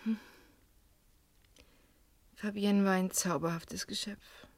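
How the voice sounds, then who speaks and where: A woman's voice: mid-range voice, steady tone, slow, dry recording, clean studio sound. An actress playing a cool, guarded young nightclub woman under questioning in a German crime radio play, evasive, curt and dryly dismissive.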